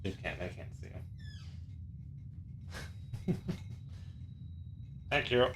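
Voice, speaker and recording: A man's voice: mid-range voice, big pitch swings, very slow, fairly bright tone, a little hiss or hum. A young man from Maryland casually chatting with viewers while playing a game, swearing lightly and laughing often. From Maryland, USA. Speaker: streamer.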